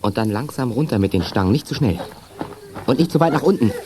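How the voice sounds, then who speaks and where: An actor playing an authoritative adult rescuer giving careful instructions in a children's radio play. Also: male voice, mid-range voice, animated, fast talker, natural voice. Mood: focused, commanding. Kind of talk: 1980s German children's radio play acting. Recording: clear noise and clicks behind.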